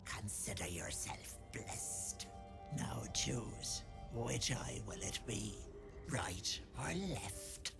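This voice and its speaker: A woman's voice: very deep voice, animated, very slow, vocal fry, music in the background, exaggerated voice. A woman voicing a sly old hag who poses as a doting auntie in a video game, with an Irish accent and sugary endearments edged with menace. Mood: gloating, menacing. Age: older. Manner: cloying endearments turning to menace. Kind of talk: theatrical video game acting.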